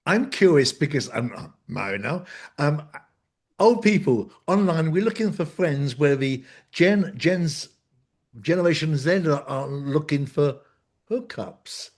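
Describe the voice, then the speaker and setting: A man's voice: fairly high pitch, animated, unhurried, a little mellow. A man hosting a casual interview, putting questions to his guests in a conversational way.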